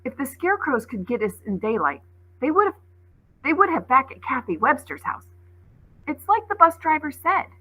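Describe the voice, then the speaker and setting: A woman's voice: high-pitched, very animated, moderate pace, sharp tone, voice pushed up, full character voice, rough recording. A woman voicing a bold, level-headed young girl in a storybook, reasoning in a dry, wry way.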